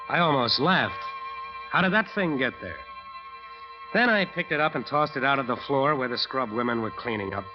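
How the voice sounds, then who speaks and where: A man's voice: medium pitch, natural voice. Male actor playing haunted American bandleader, weary slangy delivery, vintage radio drama, dull recording, music behind. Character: haunted, despairing jazz bandleader confessing his crime. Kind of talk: 1940s radio drama acting and narration.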